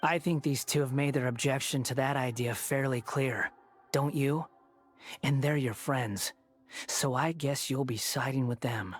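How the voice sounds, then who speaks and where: A man voicing a cynical, haughty young villain in an anime game, husky, sardonic and aloof. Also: medium pitch, a little slow, lightly acted. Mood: smug, cool. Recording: soft music behind the voice.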